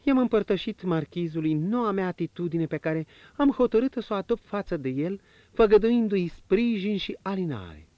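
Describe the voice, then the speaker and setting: A man's voice: sits high, animated, no room sound, voice pushed up. A man voicing an emotional young noblewoman in a dull lo-fi audiobook reading. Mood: earnest.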